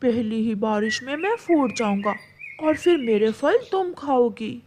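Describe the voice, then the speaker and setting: A woman's voice: on the high side, expressive, a little slow, darkish tone, a little hiss or hum. A woman voicing a dried-up male tree in a cartoon, humble, weary and earnest. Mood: plaintive.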